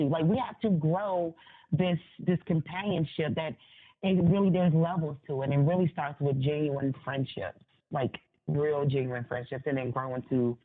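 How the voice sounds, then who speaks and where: A woman's voice: low-pitched, slightly rough. Woman on a thin phone line. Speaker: interview guest, author. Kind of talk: conversational opinion, casual interview.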